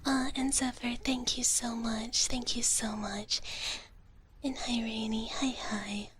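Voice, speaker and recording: A woman whispering softly and breathily in a gentle ASMR livestream chat, close to the microphone. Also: a little high, level tone, a little hiss or hum. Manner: slow, gentle, speaks of herself in third person as a dragon character. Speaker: live streamer hosting ASMR.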